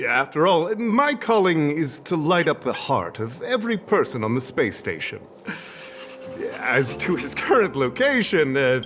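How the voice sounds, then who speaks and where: A man's voice: fairly high pitch, fairly bright tone, heavily acted. Pompous, swooping male professor. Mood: evasive. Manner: pompous, grandiloquent, hesitating when cornered. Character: vain, theatrical male professor adored by fans. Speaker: voice actor in a video game. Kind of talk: theatrical game character dialogue. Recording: game dialogue with music behind.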